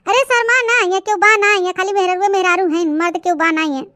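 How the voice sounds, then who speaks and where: A woman's voice: very high-pitched, fairly even intonation, quick pace, noisy background. A woman voicing gossipy, nagging village women in a comic cartoon story, speaking with an eastern Uttar Pradesh accent in a chatty, theatrical way. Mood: coaxing, nagging. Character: gossipy rural North Indian village women bickering over a new bride.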